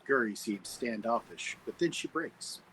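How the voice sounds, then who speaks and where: A man's voice: mid-range voice, expressive, unhurried, fairly bright tone, slight background noise, poor audio. A man narrating stage directions from a script at a table read.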